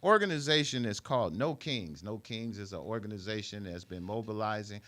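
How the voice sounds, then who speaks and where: A man's voice: lowish voice, expressive, fairly quick, pushed and bright. A man hosting a casual radio talk show in an informal, colloquial and playful way.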